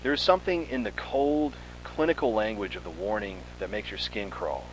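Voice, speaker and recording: A man's voice: lowish voice, normal speed, a little hiss or hum, rough recording. A man reading a scripted second-person horror story in a dramatic, suspenseful manner.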